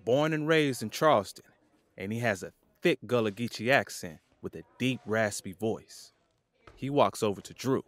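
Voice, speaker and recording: A man's voice: on the low side, normal speed, no room sound. A man reading scripted scene narration for an audio drama as its narrator.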